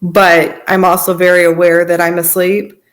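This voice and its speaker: Slightly low-voiced woman telling her story. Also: level tone, moderate pace. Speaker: podcast guest recounting personal experiences. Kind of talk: personal testimony in casual conversation.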